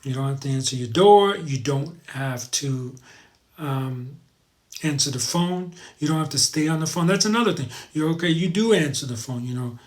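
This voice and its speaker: A man's voice: a little high, lively intonation, normal speed. A man hosting a casual livestream, chatting informally to viewers and rambling as he repeats his advice for emphasis. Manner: informal, addresses viewers as guys. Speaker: live show host. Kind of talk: casual livestream chat, reading viewer comments.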